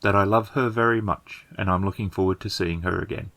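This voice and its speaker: A man playing the gentle, plain-spoken ghost of a samurai turned farmer in a tabletop game, speaking slowly and haltingly. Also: deep voice, fairly even intonation, vocal fry, no room sound. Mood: wistful, gentle.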